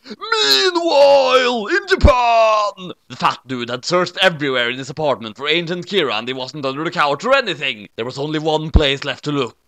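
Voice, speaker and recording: A man's voice: on the high side, lively intonation, moderate pace, sharp tone, no echo. A man doing a comedic, theatrical dramatic reading of a story, with exaggerated emphasis. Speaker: storyteller narrator. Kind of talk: comedic dramatic reading of a parody fan fiction.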